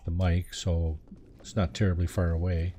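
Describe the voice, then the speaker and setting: A man with a very low, deep voice chats through his game stream in a relaxed, muttering way, often trailing off with ums. His tone is dark and mellow, recorded close on a desk mic with a pop filter. A faint hiss sits under him. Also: moderate pace. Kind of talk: casual live-stream commentary.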